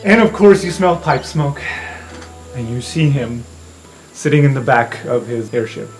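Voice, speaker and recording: A man's voice: medium pitch, a little slow, faint music behind, low-quality recording. A man hosting as game master, narrating an improvised tabletop game in an informal, joking way.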